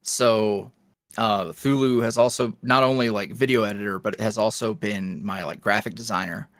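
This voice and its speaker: A man's voice: fairly low pitch, steady tone, normal speed, fairly bright tone, no echo. Young guy rambling on a podcast, lots of likes and ums, dry close sound. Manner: rambling, filler-heavy, self-reflective. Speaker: podcast guest, roleplay creator. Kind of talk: casual interview chat.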